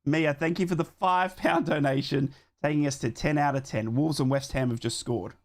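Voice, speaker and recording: Hoarse male football watchalong streamer. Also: a little high, normal speed. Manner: chatty, reads live scores and donations aloud, shouts on donations. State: losing his voice, a bit sick. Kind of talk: casual live football commentary and chat.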